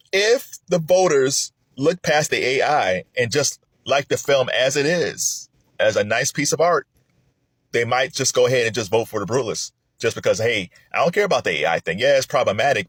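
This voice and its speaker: Guy rambling about movie awards, kinda husky, dry close-mic sound, gets excited then drops low, laughs a lot. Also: dry recording. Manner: animated, chatty, laughs often, swings between excited highs and low muttering. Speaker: film commentator. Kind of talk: casual spoken commentary.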